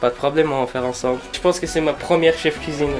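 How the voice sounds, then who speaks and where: A man's voice: fairly high pitch, steady tone, quick pace, over loud music. A man, a cook, explaining a recipe step by step while he works in a restaurant kitchen. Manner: plain step-by-step instruction. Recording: clattering kitchen noise.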